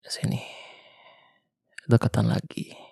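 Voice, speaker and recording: A young man with a Jakarta slang accent playing a weary ex-soldier survivor of a zombie apocalypse, speaking softly and intimately in an ASMR roleplay. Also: no echo, studio quality, natural voice. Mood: tender. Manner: soft, slow, intimate, half-whispered. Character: weary male ex-soldier survivor, tender protector. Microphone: close mic.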